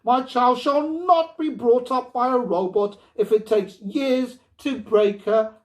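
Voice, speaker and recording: A man's voice: very high voice, slow, voice pushed up. A man voicing a stubborn, exasperated mother in a story, firm and emphatic. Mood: exasperated, grim. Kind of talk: storybook character reading.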